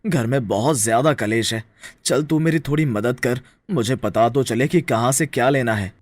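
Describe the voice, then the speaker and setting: A man's voice: mid-range voice, moderate pace, no echo, lightly acted. A man playing a troubled, henpecked young farmer husband in a story, weary and pleading. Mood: worried, pleading.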